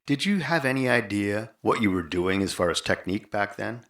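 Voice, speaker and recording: A man's voice: lowish voice, animated, fairly quick, fairly bright tone. An older man hosting a conversational interview. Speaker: podcast host interviewer.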